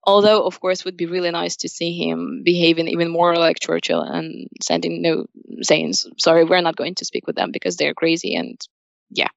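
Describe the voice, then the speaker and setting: A woman's voice: fairly low pitch, animated, normal speed, vocal fry, dry recording, highs cut off. A young woman from Ukraine, a native Russian speaker with a Ukrainian accent, giving earnest, emphatic answers as an interview guest. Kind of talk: spoken interview answers, personal opinion.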